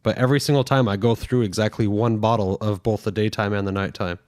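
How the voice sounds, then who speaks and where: A man's voice: lowish voice, steady tone, fast talker, a little mellow, no echo. Podcast host, man, thirties, recovering from a cold, easygoing banter, dry close mic. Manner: chatty, laughs often, many fillers. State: recovering from a head cold, deep voice. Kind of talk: casual chat.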